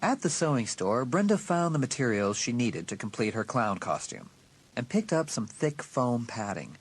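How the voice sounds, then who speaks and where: A man's voice: medium pitch, big pitch swings, normal speed, slightly rough. A man giving a dramatic, scripted narration of an audiobook story.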